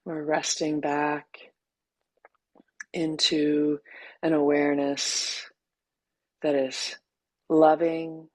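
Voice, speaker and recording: A woman teacher leading a guided meditation in a gentle, unhurried way, leaving long pauses between short phrases. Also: sits low, level tone, dry recording.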